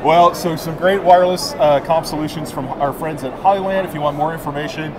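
A man's voice: fairly high pitch, steady tone, brisk, a crowd in the background. A man in his forties hosting a casual on-location interview in a friendly, informal, agreeable way. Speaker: interviewer, presenter. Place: indoor trade show booth. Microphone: small wireless mic clipped on jacket. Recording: clear busy exhibition hall noise. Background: trade show floor ambience.

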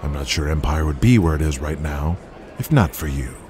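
An actor playing a ruthless, controlling wrestling promoter in an audio drama, speaking in a cold, lecturing manner. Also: male voice, a bass voice, animated, fairly quick, a little mellow. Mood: approving.

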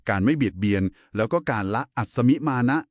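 Man explaining with a lively, varied pitch. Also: fast, no echo, clean studio sound. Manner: polite, didactic. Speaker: explainer in a two-person discussion. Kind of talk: conversational explanation of Buddhist scripture.

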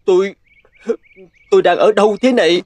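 A man's voice: high voice, moderate pace, a little mellow, lightly acted. A man voicing a rugged young hunter in a fairy-tale cartoon, devoted and earnest in a romantic way. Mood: weak, confused.

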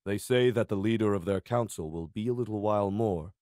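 A man's voice: fairly low pitch, a little fast, darkish tone, no echo, clean studio sound, lightly acted. A man playing a dutiful, uncertain castle guard in a fantasy visual novel. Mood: cautious.

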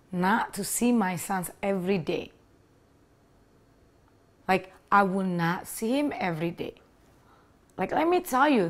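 A woman in her thirties from Indonesia with an Indonesian accent, earnestly telling her personal story with small grammar slips, seated in a living room on a lapel mic. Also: mid-range voice. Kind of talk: personal testimony to camera. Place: living room with leather sofa, indoors.

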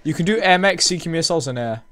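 A man's voice: on the high side, expressive, quick pace, a crowd in the background. A young man chatting casually over a game, dry and teasing, sometimes exasperated with his partner. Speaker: gamer. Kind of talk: casual gameplay chat. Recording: keyboard clicks clearly heard behind.

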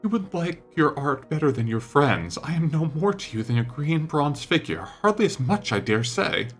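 A man voicing a petulant, melodramatic young gentleman in an audiobook, vain and wounded, speaking in an impassioned, plaintive way. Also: on the high side, big pitch swings, normal speed, faint music behind, pitched above the performer's own voice. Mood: bitter, hurt.

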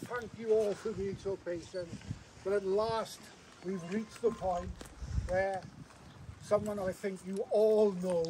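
A man's voice: high-pitched, steady tone, slow pace. Chairman giving an earnest outdoor welcome. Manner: earnest, thanking, switches languages. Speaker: charity chairman. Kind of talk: formal welcome speech. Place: outdoors on a wooden platform. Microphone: far camera. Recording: distant outdoor recording with background noise.

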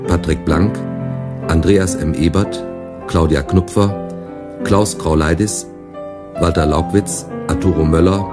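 A man reading formal scripted credits as a radio announcer. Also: low voice. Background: piano and keyboard music.

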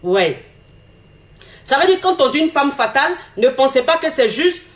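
A woman's voice: high voice, very animated, moderate pace. Emphatic coaching woman. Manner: emphatic, repeats phrases, gestures a lot while talking. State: slightly hoarse from a cold. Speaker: relationship coach. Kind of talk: animated advice monologue to camera. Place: indoor room. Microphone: phone camera at arm's length. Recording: echoey room on phone.

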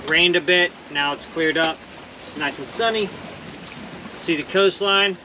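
A man in his forties chats easily to an action camera on the deck of a small sailboat at sea. His voice sits a bit high, loose and cheerful, full of little fillers. Steady wind and water noise wash over the windy outdoor recording. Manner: relaxed, upbeat, loose with fillers and soft laughs. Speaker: solo sailor vlogger. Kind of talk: casual vlog narration. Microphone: action camera close on the boat. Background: wind and water rushing past the hull.

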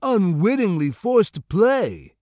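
A man voicing a sly, flattering spider-like alien schemer in an audiobook, unctuous and theatrically sarcastic, drawing words out. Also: high-pitched, slow, no echo, clean studio sound, voice pushed up, exaggerated voice. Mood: sly, agreeable. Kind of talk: audiobook character acting.

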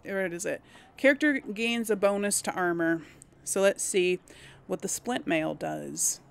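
A woman hosts a casual video game playthrough. She thinks out loud in a hesitant, musing way, as if half talking to herself. Her delivery is slow, and her mid-pitched voice often drops into a crackly fry. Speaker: gaming host. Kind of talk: casual let's play commentary, thinking aloud.

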